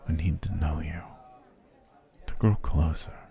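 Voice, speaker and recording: An actor playing an obsessive, smooth-talking male potion seller in an ASMR roleplay, speaking in soft, flowery, courtly phrases that often drop to a whisper. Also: very deep voice, steady tone, unhurried. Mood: adoring.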